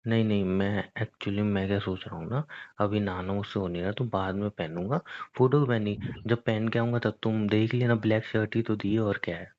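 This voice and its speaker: A man's voice: lowish voice, fairly even intonation, brisk, a little mellow, close to an everyday voice. A young man playing a lazy, evasive boyfriend in an acted phone-call drama, making casual, whiny, defensive excuses. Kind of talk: acted phone-call conversation between a couple.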